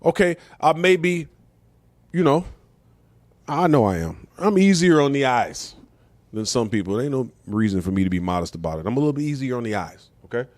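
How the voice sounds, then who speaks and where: A man's voice: mid-range voice, very animated, a little fast, dry recording. A middle-aged American man talking casually and bluntly as a show host, giving opinions in slangy, conversational speech with rhetorical questions. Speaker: comedian and talk show host.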